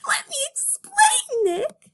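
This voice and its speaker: A woman's voice: extremely high pitch, wildly swooping pitch, slow pace, voice pushed up, heavily acted. A woman voicing an anguished young female rabbit cop, melodramatic and pleading, in an amateur comic reading. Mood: tearful pleading.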